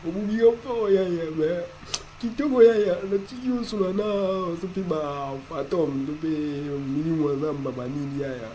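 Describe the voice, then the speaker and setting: A man voicing an excitable cartoon character, exclaiming and repeating interjections, on an amateur recording. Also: a little high, moderate pace, slight background noise, natural voice, poor audio. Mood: complaining.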